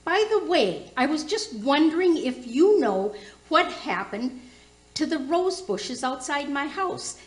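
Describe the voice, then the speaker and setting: A woman's voice: sits high, exaggerated voice. A woman playing a nosy, sly older neighbour in a comic radio play, with a folksy, gushing, sing-song manner. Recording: roomy, echoing recording.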